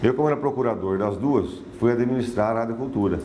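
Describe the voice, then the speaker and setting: Mellow Brazilian man in an echoey room. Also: mid-range voice, fast talker. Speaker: former radio station administrator. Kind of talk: interview recollection. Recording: roomy echo, faint music.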